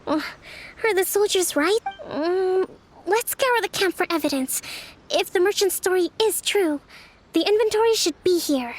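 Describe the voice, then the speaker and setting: A woman playing a composed young female strategist in an anime video game, speaking in measured, polite, earnest, formal phrases. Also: very high-pitched, expressive. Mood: calm deliberation. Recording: music behind the voice.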